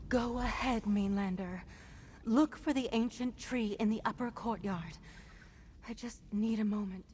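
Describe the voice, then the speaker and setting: An actress playing a weakened druid captive in a video game, solemn and pleading, with halting, deliberate speech. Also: female voice, on the high side, a little mellow, natural voice. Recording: long reverb, faint background noise.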